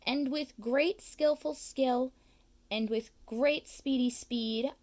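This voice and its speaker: A woman reads a picture book aloud to children in a slow, unhurried way. Her voice sits a little high, and it leaps up on the words she wants to stress. She reads each line with storyteller care. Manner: slow, expressive reading with big pitch swings on key words.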